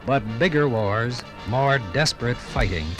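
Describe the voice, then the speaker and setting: A man narrating a 1950s radio history program in a measured, dramatic way. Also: medium pitch, animated, music in the background.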